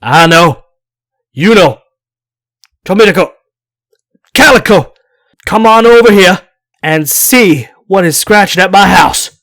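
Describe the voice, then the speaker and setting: A man voicing a frightened old man in a mountain cabin, yelling loudly and desperately in rural speech. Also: high-pitched, expressive, takes it slowly, pauses often, voice pushed up, heavily acted. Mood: calling out.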